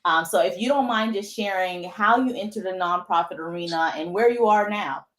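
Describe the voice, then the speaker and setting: A woman hosts a live online talk show and greets her guest with upbeat enthusiasm. She stops now and then on fillers while she finds her words. Her voice carries a clear echo from the room around her. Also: medium pitch, moderate pace, a bit bright. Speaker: host.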